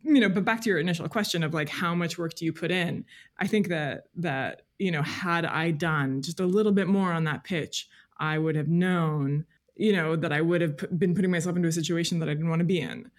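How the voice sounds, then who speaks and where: A woman's voice: fairly low pitch, brisk. Woman thinking aloud in conversation. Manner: informal, thinks aloud, hedging with "like" and "you know". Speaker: podcast guest, freelance journalist. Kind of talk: reflective interview conversation.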